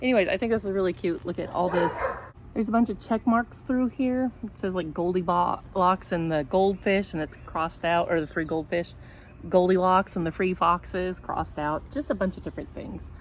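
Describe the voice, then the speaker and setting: A woman's voice: fairly low pitch, steady tone, moderate pace. Chatty woman reader, noisy outdoor recording. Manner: chatty, rambling, self-deprecating asides. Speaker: special education teacher reading a picture book aloud. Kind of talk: casual vlog chat and storybook read-aloud. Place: outdoors in sunshine. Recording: homemade outdoor recording with background noise.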